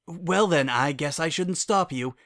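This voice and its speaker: An anxious, reluctant stallion unicorn played by a man from a cartoon pony story. He speaks hesitantly, a little higher than the narrator. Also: unhurried, a bit bright. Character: nervous, reluctant young male unicorn pony.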